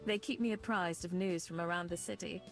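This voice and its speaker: A woman's voice: fairly low pitch, brisk, natural voice. A woman voicing a genteel, kindly vampire hostess in a visual novel, with formal, gracious diction. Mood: gracious, proud. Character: genteel, kindly female vampire who keeps bats, hospitable. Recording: music faintly behind the voice.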